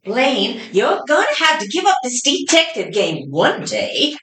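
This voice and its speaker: A woman acts out a fretful, affectionate gal pal in an old-time radio serial. Her voice is fairly high with big swoops of pitch. The recording is roomy and slightly distant. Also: wildly swooping pitch, unhurried, fairly bright tone, heavily acted. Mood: fond, resigned. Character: fretful, fond female gal pal of a detective. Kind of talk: amateur old-time radio drama acting. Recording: echoey, distant from the mic.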